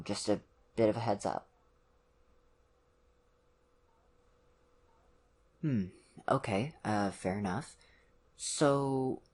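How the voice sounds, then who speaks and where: ASMR roleplay boyfriend, played by an actor: college-age, awkward and earnest, gentle, halting delivery, medium pitch. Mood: careful, earnest. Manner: hesitant, gentle, awkward, many ums and pauses. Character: ditzy, awkward, earnest young college boyfriend, caring and nervous. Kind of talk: ASMR roleplay, one-sided conversation with the listener.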